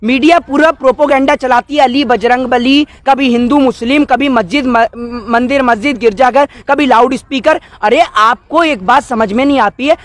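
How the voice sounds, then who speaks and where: A man's voice: very high voice, normal speed, hardly any pauses, a bit bright, no room sound. A man, arguing indignantly in rhetorical questions during an outdoor street interview. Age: 20s. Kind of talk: impassioned street interview on politics. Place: outdoors by a wall. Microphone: cluster of handheld news microphones held close.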